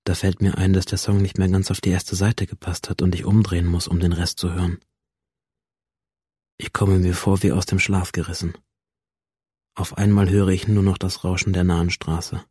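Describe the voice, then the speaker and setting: An actor playing a brooding, detached male school student in an introspective, measured first-person radio drama monologue, with a low, husky voice. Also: level tone, a little mellow, no echo, studio quality. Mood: brooding, hesitant.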